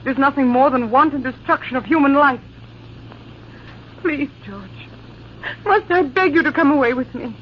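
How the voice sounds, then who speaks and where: Devoted young woman played by an actress, high, melodramatic 1940s radio pleading, noisy old broadcast sound. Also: moderate pace, long pauses, lo-fi sound, natural voice. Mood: pleading, desperate. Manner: melodramatic, imploring. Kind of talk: 1940s radio drama acting.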